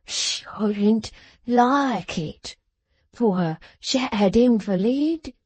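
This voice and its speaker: A woman reading aloud, voicing a wretched, whimpering old father who pleads slowly. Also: a little high, darkish tone, husky, no room sound, exaggerated voice.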